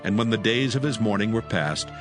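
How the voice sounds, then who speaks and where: Man, deepish voice, dramatic scripture narration, varied melody, music bed. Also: expressive, fairly quick. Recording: music under the voice.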